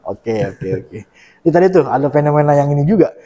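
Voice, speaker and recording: A man around forty hosts the interview, asking questions and echoing his guest's words with short affirmations. His voice is mellow and on the dark side, and the recording carries a long reverb. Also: on the high side, lively intonation, fast. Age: about 40. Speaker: interviewer host.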